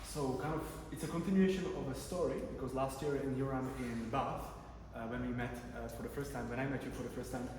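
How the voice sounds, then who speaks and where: A man's voice: medium pitch, steady tone, a little fast, few pauses, a little mellow. A man from the giving an academic conference talk, conversational, with many fillers and restarts. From Czech Republic. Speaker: conference presenter, PhD researcher. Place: large conference room. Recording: echoey hall from far off, room noise.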